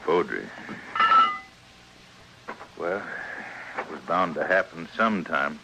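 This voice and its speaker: A deep-voiced, resigned frontier blacksmith. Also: male voice, takes it slowly, creaky, exaggerated voice. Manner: plain, resigned, stubborn. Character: proud, fatalistic frontier blacksmith, plain-spoken. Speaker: actor in a western radio drama. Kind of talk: old-time radio drama acting. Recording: very noisy old radio recording.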